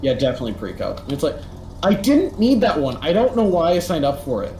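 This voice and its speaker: A teenage boy chatting casually as co-host, rambling and animated with lots of fillers. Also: on the high side, a little fast. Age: teens. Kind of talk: casual chat. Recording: echoey room, faint noise.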